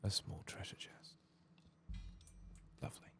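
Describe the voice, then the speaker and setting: A man softly narrating a video game in ASMR style, murmuring and often slipping into a whisper. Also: unhurried. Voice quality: soft, often breaking into whisper. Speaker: ASMR gaming host. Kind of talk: soft-spoken and whispered ASMR game playthrough.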